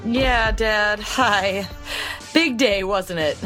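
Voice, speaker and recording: A woman's voice: medium pitch, drawn-out words, over music. A woman acting as a young rookie superhero in an audio drama. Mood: cheeky, confident. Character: young female rookie superhero, police chief's daughter.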